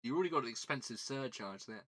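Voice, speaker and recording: Bright-voiced southern British man chatting casually. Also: mid-range voice, animated, quick pace. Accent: southern British English. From southern England. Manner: rambling, self-deprecating, repeats words and phrases. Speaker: livestream host. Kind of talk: casual livestream chat while building a model kit.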